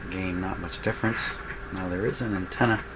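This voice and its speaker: A man narrating his workbench repair casually, thinking aloud in a halting, musing way. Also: deep voice. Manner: musing, halting, talking to himself. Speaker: radio repair hobbyist, host of a workshop show. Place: small indoor workshop crowded with electronic test gear. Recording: loud background hum and static noise.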